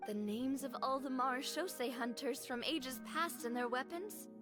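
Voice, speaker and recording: High, confident young huntress played by a woman. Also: moderate pace, music in the background, lightly acted. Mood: playful, confident. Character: confident, playful young woman role-playing a monster hunter. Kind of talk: video game voice acting.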